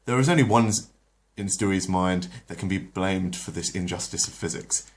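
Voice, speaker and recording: A man narrating a retold cartoon episode like a bedtime story, then chatting with hesitant pauses. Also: sits low. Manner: hesitant, with many pauses when off-script. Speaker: storyteller, channel host. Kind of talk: reading a retold episode aloud, then casual chat.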